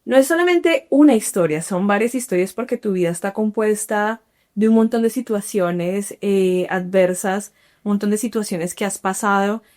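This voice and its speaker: A woman's voice: medium pitch, normal speed, a little mellow. A woman hosting an interview podcast, reading a scripted introduction and asking encouraging questions. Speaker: podcast host.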